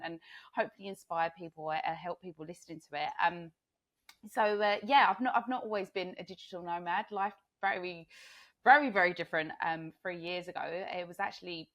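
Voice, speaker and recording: A hoarse woman from England, a podcast guest, telling her story in a chatty way with frequent laughs and fillers. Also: fairly low pitch, a little fast, fairly bright tone. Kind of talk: casual interview storytelling.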